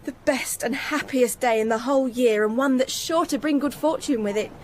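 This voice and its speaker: An actress playing a loving, hopeful young working-class woman in a radio drama, earnest and gently pleading. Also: high-pitched, moderate pace, slight background noise, lightly acted. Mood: hopeful, tender. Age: young adult.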